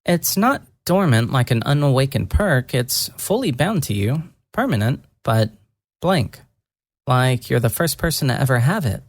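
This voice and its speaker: A narrator voicing a gentle young woman mage who murmurs softly and deliberately, earnest and lightly teasing, in a fantasy audio story. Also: medium pitch, very animated, no room sound. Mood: quiet, intrigued.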